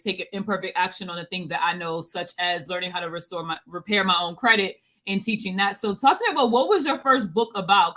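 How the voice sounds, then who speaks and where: A woman's voice: fairly low pitch, fairly bright tone. A woman hosting an interview podcast, enthusiastic and bubbly, speaking over a video call. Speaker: podcast host.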